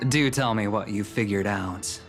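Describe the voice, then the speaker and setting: A man's voice: lowish voice, normal speed, faint music behind, close to an everyday voice. A man voicing a suave, teasing, carefree gambler in a video game, playful and theatrical. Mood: playful bravado. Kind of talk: dramatic video game voice acting.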